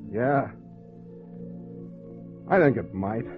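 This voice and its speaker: An actor playing a sober, unhurried lawman in a 1940s radio drama. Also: medium pitch, animated, music in the background. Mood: sober, gentle. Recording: thin, tinny old radio recording.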